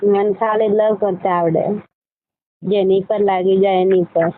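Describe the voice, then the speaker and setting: Female caller, mid-pitched, short insistent casual replies, thin phone-band sound. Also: flat delivery, a little slow. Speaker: caller, admirer. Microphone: phone call. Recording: tinny narrow-band phone line.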